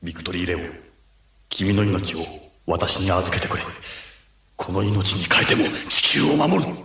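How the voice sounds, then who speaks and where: A man's voice: deep voice, expressive, moderate pace, creaky, pushed and bright, a little hiss or hum, heavily acted. A man voicing a grave, noble anime hero in a dramatic style. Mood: resolute.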